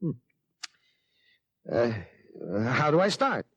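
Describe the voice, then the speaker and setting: A man's voice: on the high side, sing-song, takes it slowly, a bit bright, dry recording, exaggerated voice. A man acting in a 1950s radio drama as an anxious, doubtful middle-aged father, hesitant and easily flustered. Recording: muffled, dry 1950s radio recording.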